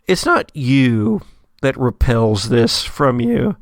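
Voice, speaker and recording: A man narrates a tabletop horror game at a very slow pace. His voice is clear with a gravelly edge, and he sets scenes with deliberate pauses, recorded close and dry. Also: medium pitch, very animated, no echo. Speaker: game master.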